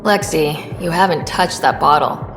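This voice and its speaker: A woman playing a helpful, wry young space explorer in video game dialogue, talking in a steady conversational way. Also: low-pitched, a little slow, roomy sound, in a large hall, music in the background. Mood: concerned, teasing.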